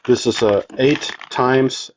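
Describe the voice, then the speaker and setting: A man with a German accent showing his radio gear in a casual walkthrough, halting with many ums and restarts. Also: mid-range voice, lo-fi sound, a little hiss or hum. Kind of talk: casual show-and-tell walkthrough.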